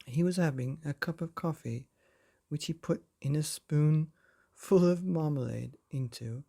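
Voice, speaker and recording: A man narrating a children's bedtime storybook in a playful, expressive way, often putting questions to the listener. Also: on the high side, a little slow, vocal fry.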